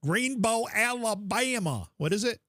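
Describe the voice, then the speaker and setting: A man's voice: high-pitched, animated, measured pace, pushed and bright, no echo. Chatty man co-hosting a podcast, close and dry. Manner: chatty, teasing, reads out supporter thank-yous. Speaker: podcast co-host. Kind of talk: casual livestream chat.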